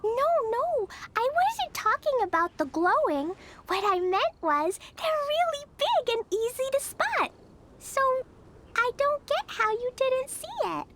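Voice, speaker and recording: A woman's voice: very high voice, very animated, unhurried, fairly bright tone, heavily acted. A woman playing an innocent, bubbly, playful little girl in a video game, with a small childlike voice and an eager, earnest manner. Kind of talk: video game cutscene acting.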